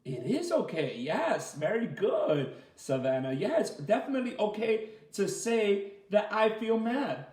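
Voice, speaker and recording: A man leading a children's story time as a teacher, reading aloud and asking the listeners simple questions in an animated way. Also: high voice, unhurried, hardly any pauses. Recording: roomy, echoey sound.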